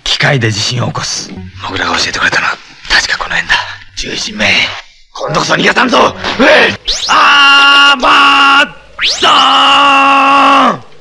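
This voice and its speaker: A man's voice: extremely high pitch, big pitch swings, normal speed, gravelly, pauses often, pushed and bright, shouting, exaggerated voice. A young man acting as a fierce, earnest jungle-raised superhero in a 1970s Japanese television action drama, intense and terse. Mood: determined, then frantic shouting. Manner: intense, terse, breaking into battle cries. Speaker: lead actor in a superhero television series. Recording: 1970s television soundtrack with music. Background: dramatic background music.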